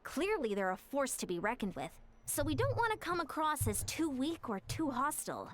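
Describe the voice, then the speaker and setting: A proper young warrior-diplomat who speaks in polite, measured sentences. Her voice sits high and moves with lively rises and falls. Soft game music plays behind her. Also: slight background noise. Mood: earnest.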